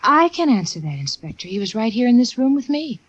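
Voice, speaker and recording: A woman's voice: fairly high pitch, big pitch swings, a little fast, husky. A woman playing an earnest, protective sister in a radio suspense style.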